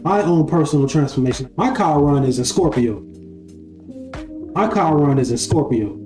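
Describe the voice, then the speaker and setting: A man's voice: a little high, expressive, normal speed. A man in his twenties giving a casual spiritual talk as a live-stream host, repeating his phrases and often asking "right?". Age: 20s. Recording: echoey room with faint music.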